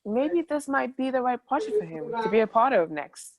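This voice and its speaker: A woman's voice: a little high, big pitch swings, normal speed. A woman playing an upbeat, pushy film producer in a table read. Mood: eager.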